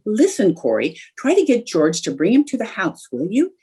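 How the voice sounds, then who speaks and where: A woman playing an eager, scheming, chatty young housewife in an old-time radio comedy, with a husky voice. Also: mid-range voice, huge pitch swings, normal speed, dark tone, exaggerated voice. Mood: eager, conspiratorial. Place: indoors, remote video call.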